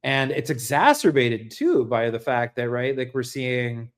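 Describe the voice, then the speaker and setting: An American man with a middle-range voice talks off the cuff to a livestream audience. He is earnest and reflective, feeling his way through each thought. His phrasing is halting, with repeated words and frequent tag questions. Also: medium pitch, expressive. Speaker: livestream host and essayist. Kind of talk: unscripted livestream monologue on politics and philosophy.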